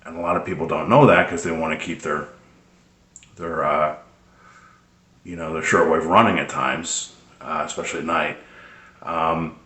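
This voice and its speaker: A man giving a casual, unscripted how-to explanation in a hesitant, rambling way with many pauses. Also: extremely low pitch, steady tone, bright, forceful tone. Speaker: presenter of a radio tips video. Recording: roomy, echoing sound.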